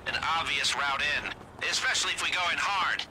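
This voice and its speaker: An actor playing an upbeat heist coordinator giving directions in a video game. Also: male voice, fairly high pitch, expressive, brisk, background noise, close to an everyday voice. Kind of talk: video game mission dialogue. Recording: loud game music behind.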